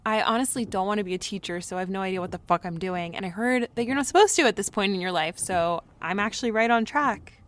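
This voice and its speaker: A woman's voice: medium pitch, fast, few pauses, slight background noise, natural voice. An actress in her twenties playing an anxious, candid young woman recording a rambling, self-deprecating audio diary in an audio drama. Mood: wry, unsettled. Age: 20s. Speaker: lead actor in an audio drama. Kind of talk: confessional audio diary monologue.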